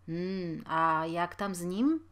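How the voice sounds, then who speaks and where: A woman's voice: on the low side, slow, a bit bright. Woman voicing a grumbling old landlord. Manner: muttering, indignant. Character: grumbling elderly male landlord, stern and impatient.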